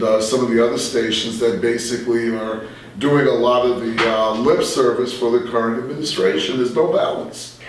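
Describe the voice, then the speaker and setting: An American man in his sixties speaking as a veteran radio journalist in a reflective interview, measured and halting with emphatic asides. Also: mid-range voice, recorded from a distance, a little hiss or hum. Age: 60s. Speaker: veteran radio journalist and talk show guest. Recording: very echoey room, off the mic.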